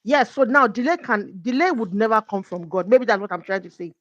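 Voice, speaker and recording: A woman's voice: medium pitch, lively intonation, a little fast, fairly bright tone, no room sound, muffled recording. A woman speaking as a guest coach in a conversational faith talk, explaining her points earnestly through examples.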